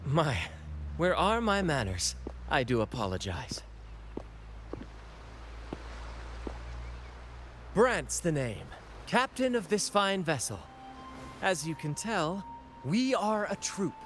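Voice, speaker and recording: An actor playing a flamboyant, theatrical ship captain in a video game, with grand, courtly phrasing. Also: male voice, sits high, very animated, slow, music in the background, exaggerated voice. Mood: amused, charming. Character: flamboyant swashbuckling ship captain leading a merry theatrical troupe. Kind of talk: video game character acting.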